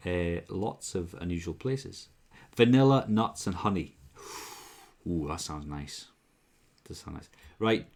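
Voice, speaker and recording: A man with a deep, low voice and a Welsh accent, blurred by years in Scotland and northern England, hosts a relaxed live stream from home. He talks in a chatty, upbeat way, full of ums and false starts. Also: vocal fry. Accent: Welsh, mixed with Scottish and northern English. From Wales. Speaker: live-stream whisky tasting host. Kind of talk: casual live-stream chat reading out viewer comments.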